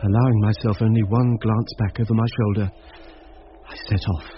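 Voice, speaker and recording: An actor playing an earnest, rational amateur sleuth, thinking through his investigation in measured, dramatic radio drama style. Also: on the low side, level tone, natural voice. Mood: brooding. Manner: measured, reflective, talking things through to himself. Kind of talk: dramatic first-person radio drama narration and musing. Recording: muffled radio drama recording with background noise.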